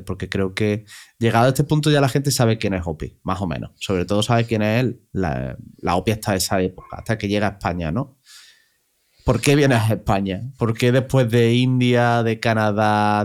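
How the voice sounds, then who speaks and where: Low-voiced man hosting a podcast. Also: darkish tone. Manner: rephrases questions, frequent tag questions. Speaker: podcast host. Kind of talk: conversational interview.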